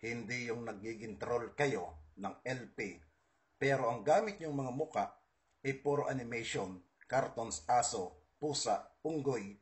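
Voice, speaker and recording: A man's voice: medium pitch, unhurried. A man with a Filipino accent, giving an informal, combative monologue to online followers, stressing and repeating his points. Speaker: political video host.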